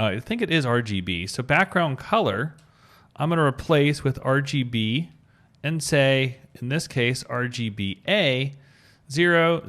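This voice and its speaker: A man with a mid-pitched voice that moves up and down in an animated way. He presents an informal screencast tutorial, talking through each step as he works and spelling out code terms. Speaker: web design tutorial presenter.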